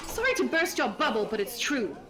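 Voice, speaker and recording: A woman's voice: sits high, very animated, a little fast. A woman playing a vampire lord's loyal, haughty minion in a scripted ASMR roleplay, speaking formally and persuasively with disdain. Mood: scornful.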